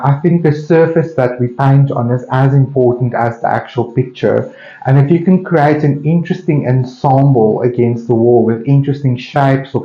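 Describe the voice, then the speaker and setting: Slow, mellow South African Afrikaans man. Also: mid-range voice. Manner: unhurried, thinking aloud with pauses. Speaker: painter hosting an open studio session. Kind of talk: casual musing while painting. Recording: roomy, slightly dull sound.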